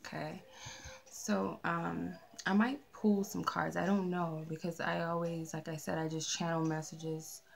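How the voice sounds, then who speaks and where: A woman with a soft, mellow voice giving a casual channeled tarot reading, chatty and rambling with many fillers. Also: lowish voice, flat delivery, measured pace, creaky. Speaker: tarot reader. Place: candlelit room with a tarot table. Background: R&B music playing.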